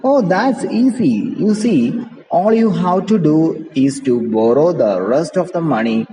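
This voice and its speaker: A man's voice: on the high side, animated, measured pace, dark tone. A man with an Indian English accent voicing a sheepish, carefree young husband in a play read-aloud. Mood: breezy. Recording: echoey reverberant room with background noise.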